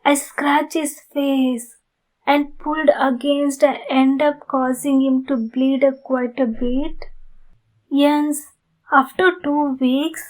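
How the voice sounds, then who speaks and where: A woman playing a courteous, earnest female vampire in an ASMR roleplay, speaking formally to the listener with halting phrasing. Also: sits high, fairly even intonation, a little mellow, poor audio. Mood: calm, explaining. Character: polite, pleading female vampire in need of blood.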